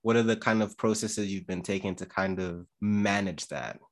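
A man's voice: lowish voice, fairly even intonation, moderate pace. A man interviewing a singer and asking probing follow-up questions. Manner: probing, asks follow-ups.

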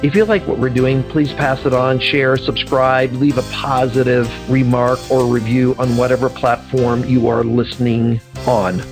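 Upbeat male podcast host, encouraging and jokey, mid-pitched, soft music under him. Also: little pitch movement, normal speed, hardly any pauses. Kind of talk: podcast interview and promo read.

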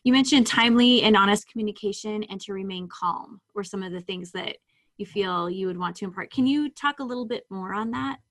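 A woman's voice: medium pitch, fairly quick, fairly bright tone. A woman hosting a podcast, putting questions to a guest with friendly, hesitant phrasing. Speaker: podcast host. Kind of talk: interviewing a guest.

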